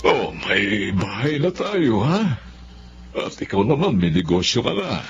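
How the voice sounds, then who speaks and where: An actor playing a proud, caring middle-aged father with a Filipino accent, folksy and expressive in a radio drama. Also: fairly low pitch, a little slow, music in the background. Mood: pleased. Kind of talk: Tagalog radio drama acting. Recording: dull lo-fi track with background noise.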